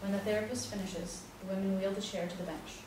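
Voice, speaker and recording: A woman's voice: medium pitch, fairly even intonation, quick pace, darkish tone. A woman reading scripted stage directions as the narrator of a play. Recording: very echoey room, distant mic, noisy.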